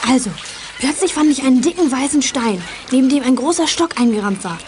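A curious, eager girl sleuth in a children's adventure radio play. Her voice is very high, with clear noise behind it. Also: animated, brisk. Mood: excited. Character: curious, eager girl detective who loves diving. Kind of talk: 1980s children's detective audio play acting.

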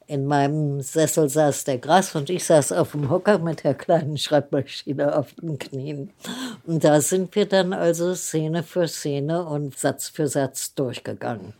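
A woman's voice: sits low, measured pace, mellow. An older woman interviewee reminiscing casually as an eyewitness, acting out bits of remembered dialogue. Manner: anecdotal, re-enacting dialogue.

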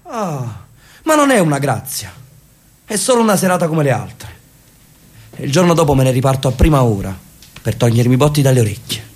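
An actor playing an elderly brother in a radio drama, a detached writer who speaks dryly and reflectively. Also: male voice, mid-range voice, fairly quick, pauses often, close to an everyday voice. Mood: exasperated. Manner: dry, reflective, argues calmly.

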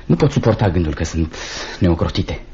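An earnest, protective teacher in a radio play. His voice is low and husky, sombre yet gentle. The sound is somewhat muffled. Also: big pitch swings, heavily acted. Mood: troubled. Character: earnest, troubled young male teacher, kind and protective. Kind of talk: Romanian radio theatre acting. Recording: dull, band-limited radio drama recording.

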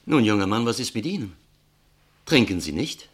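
A middle-aged gentleman traveler in a radio play. His low voice is husky and rough-edged. He talks politely and at length, pausing hesitantly, careworn and distrustful of those around him. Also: big pitch swings. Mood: courteous. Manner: polite, talkative, hesitant pauses. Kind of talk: German radio drama acting.

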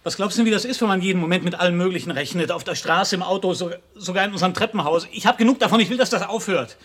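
A man's voice: sits high, very fast, fairly bright tone. A man playing a young adult son in a German radio drama, stubborn and fed up, speaking in a blunt, dismissive way. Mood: agitated, fed up.

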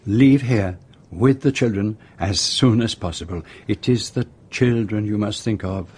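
An actor playing a shrewd, courteous detective with a French-speaking Belgian accent, speaking formally and precisely in a radio drama. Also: male voice, on the low side, a little mellow, slightly rough. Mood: firm, commanding. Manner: formal, measured, precise phrasing. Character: shrewd, courteous male detective, self-assured and commanding.

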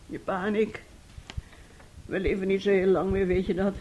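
A woman's voice: medium pitch, level tone, normal speed, a little mellow, muffled recording, a little hiss or hum, close to an everyday voice. An actress playing a domineering, weary older mother in a radio play, speaking in a stern, emphatic way. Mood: weary, pained.

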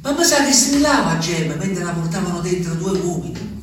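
A man's voice: sits high, very animated, brisk. An actor playing an ageing, lonely peasant man, rambling and repeating himself in a theatrical monologue. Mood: wistful. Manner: rambling, repetitive, swings from hushed to exclaiming. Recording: echoey, distant stage recording, faint noise.

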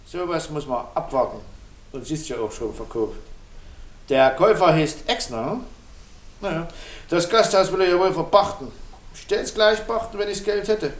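High-voiced, smug gossiping schemer played by a man. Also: moderate pace, roomy sound, lightly acted. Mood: breezy, sly. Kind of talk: naturalistic radio drama acting.

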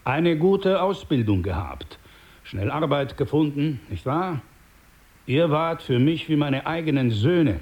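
Casting: older paternal crime boss, radio drama. Mellow, measured, condescending, quietly menacing. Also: male voice, a little high, animated. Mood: patronising, measured. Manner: condescending, measured, smooth-talking.

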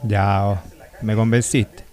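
A man's voice: lowish voice, flat delivery, takes it slowly, a little mellow. A Chilean man acting an easygoing, mildly grumbling working-class husband in a colloquial radio drama. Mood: resigned, agreeable.

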